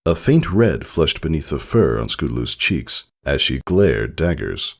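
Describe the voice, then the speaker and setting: A man narrating a scripted story in a measured, dramatic storybook style. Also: extremely low pitch, very animated, vocal fry. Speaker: fan fiction narrator.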